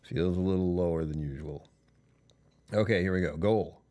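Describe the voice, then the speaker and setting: Tired, very low-voiced man rambling. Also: moderate pace, warm tone. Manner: rambling, chuckling, talking to chat. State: tired, voice lower than usual. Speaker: livestream host. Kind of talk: casual live stream chat.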